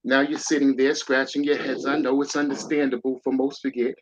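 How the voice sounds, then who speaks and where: A man's voice: medium pitch, brisk, slight background noise. A man, a guest author, reading his rhyming children's story aloud with a rhythmic storytelling lilt. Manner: rhythmic storyteller reading verse, lively emphasis. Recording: dull lo-fi sound.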